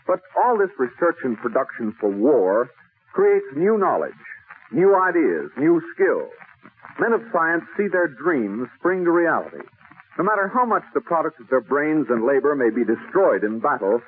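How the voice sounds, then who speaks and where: American male 1940s announcer, oratorical, varied intonation, thin noisy vintage broadcast. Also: medium pitch. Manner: formal, oratorical. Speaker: radio commercial announcer. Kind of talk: 1940s scripted sponsor announcement. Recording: tinny, noisy 1940s radio recording.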